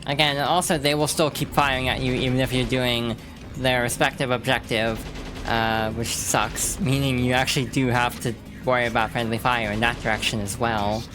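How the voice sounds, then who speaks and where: A woman's voice: rumbling low, fairly even intonation, normal speed, rough recording. Rambling woman streamer over game music. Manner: rambling, with many fillers and restarts. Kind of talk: casual let's-play commentary.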